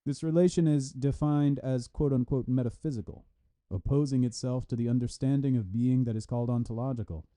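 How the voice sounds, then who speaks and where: A man reading a scholarly text aloud with deliberate, halting phrasing. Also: mid-range voice, steady tone, mellow. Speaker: reader of a philosophy article. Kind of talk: read-aloud scholarly text with brief explanatory asides.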